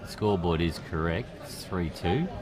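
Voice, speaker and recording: A man with an Australian accent commentating a pool match in a relaxed, chatty way, with a quiet voice and many fillers. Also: sits low, unhurried, over a noisy crowd.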